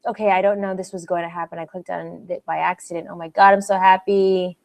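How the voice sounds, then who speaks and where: A woman's voice: on the low side, flat delivery, brisk, vocal fry, a little mellow. A young woman from Canada hosting a live Q&A stream, chatty and bubbly, giggling often and restarting her sentences as she answers viewer comments.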